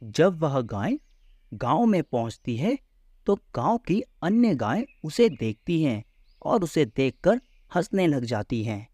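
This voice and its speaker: Slow, animated male storyteller. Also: a little high, dry recording. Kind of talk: scripted children's story narration.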